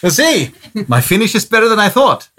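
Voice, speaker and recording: A man's voice: high voice, fairly bright tone. A man co-hosting a casual podcast, joking and playful. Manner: jokey, playful interviewer. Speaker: podcast co-host.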